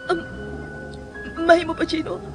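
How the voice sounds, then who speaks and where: A woman's voice: extremely high pitch, monotone, moderate pace. A Filipina woman playing a timid, pleading young mother in a radio drama, with a Filipino accent. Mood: pleading, tearful. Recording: radio drama with loud music underneath.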